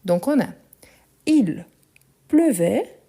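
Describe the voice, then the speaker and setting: A woman teaches a live online French lesson, talking slowly and with care. Her voice is soft and mellow rather than bright, and she goes back over each phrase for her learners. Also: a little high, very animated. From France and Brazil. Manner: slow, clear, repeats phrases for learners.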